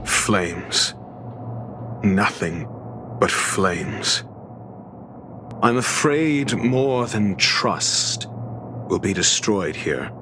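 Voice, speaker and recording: A man's voice: deep voice, lively intonation, background noise, exaggerated voice. A man voicing a haughty, intellectual scholar in a video game, with a pompous, articulate and exacting manner. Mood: grave disdain. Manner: pompous, measured, articulate, erudite. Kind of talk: scripted video game voice acting. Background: background music.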